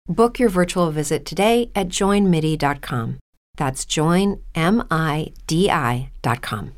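A woman delivers a scripted advertising voice-over. Her voice sits a little low and moves at a slow, deliberate pace. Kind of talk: scripted health advertisement read.

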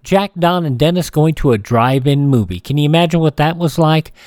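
A man hosting a radio show in a casual, conversational way, with deliberate phrasing and pauses. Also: a little high, expressive, darkish tone, no room sound, clean studio sound. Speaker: radio host. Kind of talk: scripted but casual radio show presenting. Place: dry radio studio.

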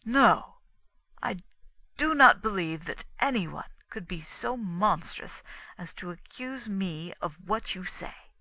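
A woman's voice: medium pitch, animated, slow pace, bright, forceful tone, no echo, full character voice. A woman voicing a distressed, defensive widower in an audiobook, with a wounded, protesting, melodramatic manner. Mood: defiant, agitated. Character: indignant, distressed widower, sorrowful and defensive man. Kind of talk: dramatic audiobook character dialogue.

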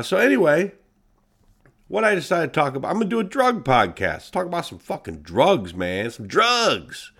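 A man hosting his own podcast, talking casually and bluntly with a congested, nasal voice and lots of swearing. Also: mid-range voice. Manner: blunt, profane, animated. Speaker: podcast host. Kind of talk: casual solo podcast monologue.

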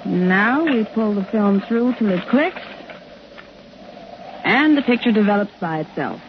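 A woman's voice: medium pitch, expressive, measured pace, close to an everyday voice. An actress playing an earnest woman tourist in a 1950s radio drama, with a natural, conversational delivery. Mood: bright, absorbed. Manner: earnest, hesitant, insistent. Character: camera-keen young woman tourist, earnest and uneasy. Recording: muffled, faintly noisy 1950s radio recording.

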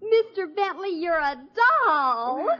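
An American woman playing a dramatic, excitable teenage daughter in a 1950s radio sitcom. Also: very high voice, slow, exaggerated voice. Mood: gushing. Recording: dull old radio broadcast recording.

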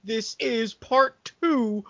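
A man's voice: very high-pitched, drawn-out words, fairly bright tone, no echo, highs cut off. A man hosting a comedic podcast with casual banter and a stammering, theatrical showman's delivery. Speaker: podcast host.

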